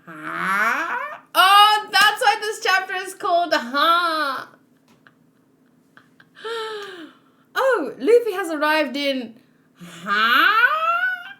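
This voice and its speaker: A woman hosting a read-along in a casual, expressive and giggly reaction style. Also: very high voice, extremely slow, pauses often. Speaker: host of a manga read-along.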